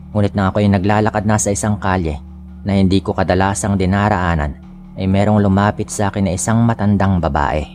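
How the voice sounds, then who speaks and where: Deep-voiced Filipino man narrating scary stories. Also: darkish tone. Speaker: horror story narrator. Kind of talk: first-person scripted scary-story narration. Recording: music bed under the voice. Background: background music.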